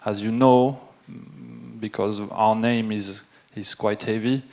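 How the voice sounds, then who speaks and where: A middle-aged French man with a French accent, presenting at a wine seminar in a halting way, with many fillers and restarts. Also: lowish voice, fairly even intonation, vocal fry, darkish tone. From France. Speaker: winemaker. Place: event room with a live audience.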